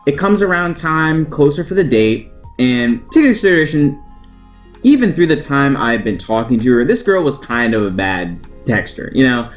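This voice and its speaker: A young American man with a highly animated, mid-pitched voice talks casually, as if recounting a personal story to viewers. His phrasing is colloquial and self-deprecating, with a joking edge. Guitar music plays behind him. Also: moderate pace. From United States. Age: young adult. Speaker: vlogger telling personal stories.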